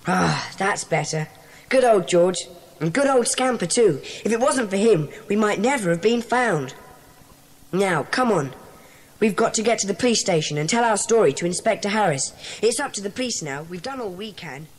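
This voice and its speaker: A woman's voice: medium pitch, lively intonation, moderate pace, close to an everyday voice. A woman playing a bossy, cheerful schoolboy who leads a secret society in a children's audio drama, earnest and decisive. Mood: relieved, satisfied.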